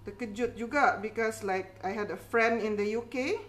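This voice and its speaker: A woman's voice: on the high side, normal speed, fairly bright tone. Chatty middle-aged woman teaching baking. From Philippines. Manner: encouraging, chatty, reassuring, with tag questions like okay. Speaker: home baking instructor and cooking show host. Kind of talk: casual cooking demonstration, code-switching chat.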